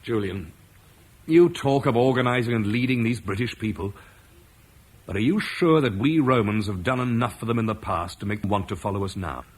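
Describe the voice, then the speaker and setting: An actor playing Marcus, a duty-bound Roman officer, in an old radio play, earnest and measured. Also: male voice, on the low side, close to an everyday voice. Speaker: Roman commander leaving Britain. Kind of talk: 1950s BBC radio drama acting.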